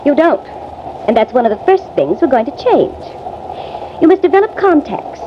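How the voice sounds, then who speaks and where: Muffled and noisy like vintage radio, an ambitious young society wife. Her high voice swoops with breezy, theatrical insistence, domineering and scheming in 1950s drama style. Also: very animated, normal speed.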